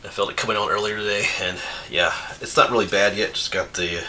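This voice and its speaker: Low-voiced sniffly man chatting. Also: fairly even intonation, quick pace, bright, forceful tone, a little hiss or hum, rough recording. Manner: rambling and chatty, laughs often, restarts. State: sniffly with an oncoming cold. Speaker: outdoors YouTuber reading viewer mail. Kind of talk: casual chat. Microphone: camera mic.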